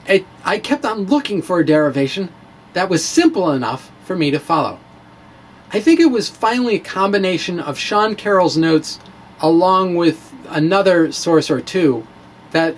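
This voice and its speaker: A man giving a theatrical, emphatic dramatic reading of a science blog, with deliberate pauses. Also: a little high, slight background noise. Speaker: science blogger and presenter.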